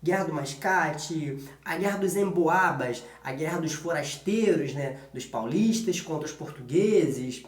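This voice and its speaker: A man's voice: fairly high pitch, expressive, measured pace. A man with a Brazilian accent giving an informal history exam-prep lesson as a teacher, colloquial and often checking in with short tag questions. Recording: echoey room.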